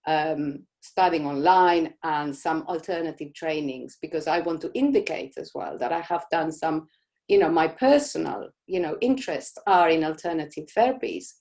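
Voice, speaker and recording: Chatty woman from Argentina, lowish voice. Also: moderate pace. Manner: warm, chatty, many "you know" fillers. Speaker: host of a live Q&A for business owners. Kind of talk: casual live Q&A session.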